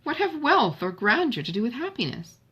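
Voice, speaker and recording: A woman's voice: on the high side, very animated, fairly quick, a bit bright, slightly rough, lo-fi sound, voice pushed up, heavily acted. A woman voicing a passionate, idealistic young woman in a novel, speaking in an emphatic, formal manner. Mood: indignant.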